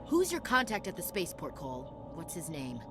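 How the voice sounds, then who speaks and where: Tough soldier lady in a game, blunt, sharp, very up and down, music behind. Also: low voice, huge pitch swings, fairly quick, exaggerated voice. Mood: alert, pressing. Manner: sharp, confrontational. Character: tough, blunt female soldier, quick to anger. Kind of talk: video game dialogue acting.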